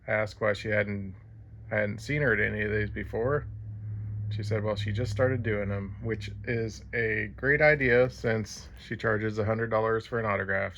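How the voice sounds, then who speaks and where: A man's voice: lowish voice, gravelly, fairly bright tone. A man casually narrating his own vlog, chatty and rambling with fillers. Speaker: vlogger.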